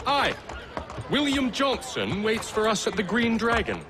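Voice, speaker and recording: An actor playing an eager, loyal young gentleman aide in a video game, formal and deferential in manner. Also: high-pitched, sing-song, a little slow. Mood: eager, respectful. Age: young adult. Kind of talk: video game cutscene acting. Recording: clear background noise.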